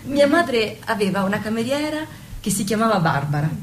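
An Italian stage actress playing a wistful, naive young wife, speaking in an earnest, plaintive, musing way in a radio play. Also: female voice, fairly high pitch, very animated, normal speed, background noise. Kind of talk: stage-play dialogue with snatches of song.

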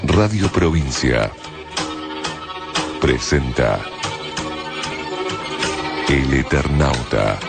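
A male radio announcer reads programme titles with drama. His voice is extremely deep and very slow, set against loud music. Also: expressive, creaky.

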